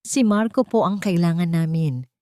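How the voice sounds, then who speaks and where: In this story drama, a woman plays a menacing man collecting debts with veiled threats. The voice is mellow and recorded close and dry. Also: on the low side, expressive, normal speed, no room sound, lightly acted. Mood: coldly menacing.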